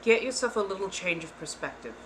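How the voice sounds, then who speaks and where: A woman's voice: medium pitch, lively intonation, fairly quick, a bit bright, slight background noise, low-quality recording. A woman hosting live tarot card readings, speaking throughout in a theatrical put-on persona accent and calling her viewers darling. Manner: theatrical, calls listeners darling. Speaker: tarot reader and livestream host.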